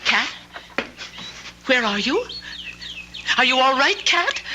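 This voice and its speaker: An actress playing a frightened, confused lonely woman in an old-time radio drama, halting and pleading as she repeats herself. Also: high-pitched, big pitch swings, background noise, heavily acted. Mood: frantic, pleading. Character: frightened, confused, fretful lonely woman insisting on her story.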